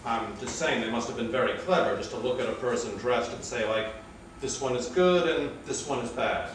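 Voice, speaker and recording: A man's voice: mid-range voice, moderate pace, recorded from a distance, natural voice. Man acting probing interrogator, echoey, noisy room. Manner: probing, pointed. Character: persistent, skeptical interrogator of a war criminal. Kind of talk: staged play reading. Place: indoor room at a live staged reading, distant mic.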